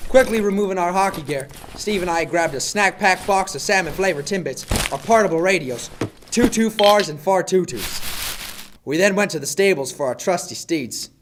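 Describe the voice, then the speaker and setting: Man as an earnest, higher-pitched policeman narrator. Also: expressive, moderate pace, a little hiss or hum. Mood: mock-serious narration. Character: earnest, over-polite Canadian policeman narrating his adventure. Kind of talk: scripted comic radio play narration.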